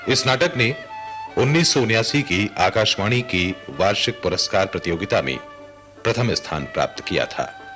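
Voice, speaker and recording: Male announcer for radio play introduction; formal, unhurried, somewhat low pitch, over prominent music.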